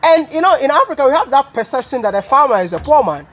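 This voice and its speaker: Playful high-voiced Ghanaian YouTuber. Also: male voice, big pitch swings, fast talker, a bit bright. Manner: playful, teasing. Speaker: YouTube interviewer. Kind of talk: casual interview. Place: raised platform outdoors on a rural farm.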